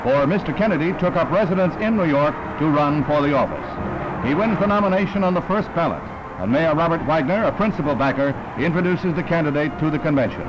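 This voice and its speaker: A man's voice: on the high side, expressive, quick pace, muffled recording, noisy background. An American man reading scripted narration in the style of a 1960s newsreel. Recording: band-limited vintage newsreel film, loud music bed. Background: music.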